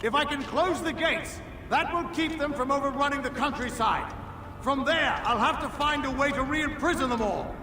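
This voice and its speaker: An actor playing a commanding, protective young royal in a video game, projecting urgently. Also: male voice, very high-pitched, moderate pace, noisy background. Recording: echoey, music behind.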